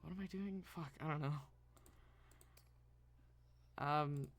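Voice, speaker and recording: A woman's voice: sits low, takes it slowly. A woman game streamer giving casual live commentary, muttering to herself and exclaiming at the game, tired from a long session.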